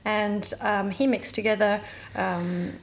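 A woman talks as an interview guest at an average pitch. She speaks hesitantly, with halting starts. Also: fairly even intonation, slight background noise. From Australia. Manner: hesitant, many fillers and restarts. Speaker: guest musician. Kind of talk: casual interview chat.

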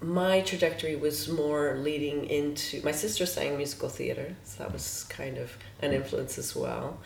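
A woman's voice: low-pitched, moderate pace, creaky, slight background noise. Woman in an echoey room. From Los Angeles, USA. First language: Hungarian. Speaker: guest musician. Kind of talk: casual interview chat.

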